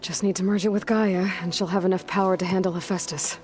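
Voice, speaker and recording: A woman acts out a young outcast huntress from a video game. She speaks in a lowish voice, understated and plain, determined yet drily humorous. Faint music sits behind her. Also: fairly even intonation, fast talker, a little mellow, lightly acted. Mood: matter-of-fact. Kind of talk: naturalistic video game acting.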